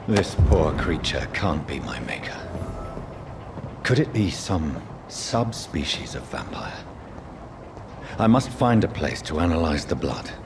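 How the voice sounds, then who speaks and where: An actor playing a brooding vampire doctor, musing to himself in a hushed game monologue. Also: male voice, rumbling low, big pitch swings, unhurried, full character voice. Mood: pensive. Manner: hushed, pondering. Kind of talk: video game inner monologue. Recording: clear ambient noise behind.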